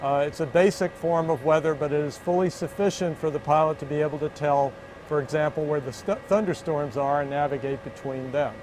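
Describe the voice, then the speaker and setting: Higher-pitched older American man explaining. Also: steady tone, brisk, darkish tone, slight background noise, rough recording. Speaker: aviation official and pilot. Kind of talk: explanatory talk to camera. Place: inside an aircraft cabin. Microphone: lapel.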